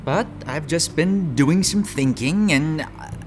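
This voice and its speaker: A man's voice: a little high, slow pace, background noise. A man playing an earnest, restless young man in an audio drama, speaking sincerely and hesitantly in a naturalistic way.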